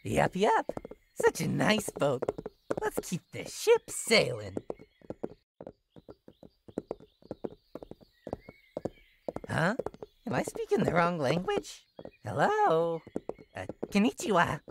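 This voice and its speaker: A woman voicing a mischievous, taunting clown-like jester in a horror game, in a theatrical, teasing singsong with drawn-out words. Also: lowish voice, huge pitch swings, creaky, background noise, heavily acted. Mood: mocking playful. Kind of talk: visual novel horror game character acting.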